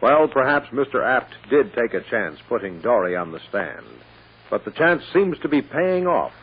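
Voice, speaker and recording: A man's voice: medium pitch, big pitch swings, normal speed, breathy edge. A man narrating as a vintage radio drama announcer, with a theatrical, inviting delivery. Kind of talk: 1940s radio scripted narration. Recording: tinny old radio recording.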